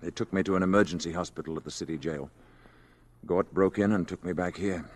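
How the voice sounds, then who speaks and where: An actor as a grave, measured alien emissary. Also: male voice, low-pitched, fairly even intonation, a little hiss or hum, natural voice. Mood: calm, subdued. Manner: measured, formal, solemn. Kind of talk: old radio drama acting. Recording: dull vintage radio recording, hall reverb.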